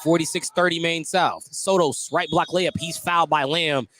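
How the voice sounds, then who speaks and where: A man's voice: fairly high pitch, fairly even intonation, normal speed, a bit bright. A man doing live play-by-play basketball commentary on radio, calling each play in clipped, descriptive phrases. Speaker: play-by-play announcer. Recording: noisy live sports broadcast.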